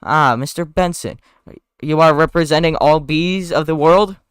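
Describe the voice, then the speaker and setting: A man puts on a formal judge for a comic script reading. He is loud and declamatory, with clear tone. There is very little room sound. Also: fairly high pitch, normal speed, dry recording, studio quality, voice pushed up, lightly acted. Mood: official. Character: formal, brisk courtroom judge presiding over a case.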